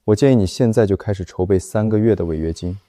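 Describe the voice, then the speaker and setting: A man playing an aloof, exacting company director in an animated short drama, speaking formally with dry, cutting remarks. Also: fairly low pitch, fast talker, no room sound, lightly acted. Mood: cool, dry.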